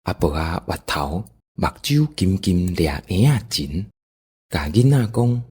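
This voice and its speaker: A man's voice: low voice, very animated, unhurried, dark tone, breathy edge. A man with a Taiwanese accent narrating an audio drama in a folksy, dramatic storytelling style.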